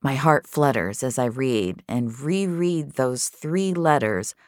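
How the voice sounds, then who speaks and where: A woman's voice: a bass voice, lively intonation, slow pace, a little mellow, no room sound, clean studio sound. A woman narrating scripted fiction in the first person, in a confessional, intimate storytelling manner. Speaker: narrator of an audio fiction series.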